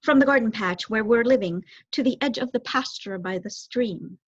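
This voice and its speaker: A woman's voice: a little high, normal speed, lightly acted. A woman voicing a nervous, polite mother mouse in a storybook. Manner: polite, anxious. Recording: dull, lo-fi close recording.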